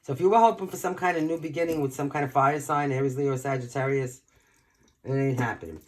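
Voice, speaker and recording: Hoarse New tarot reader. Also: mid-range voice, fast. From Brooklyn, New York. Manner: chatty, informal, talks straight to the viewer. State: nearly out of voice, hoarse. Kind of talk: casual tarot reading for viewers. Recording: loud background noise with clicks.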